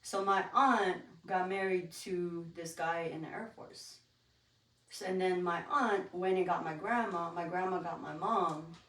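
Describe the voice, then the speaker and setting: A woman's voice: lowish voice, measured pace, a bit bright. A woman from the Philippines and Guam chatting casually and candidly as a podcast guest. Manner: candid, informal. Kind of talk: casual personal conversation. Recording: roomy echo, distant from the mic.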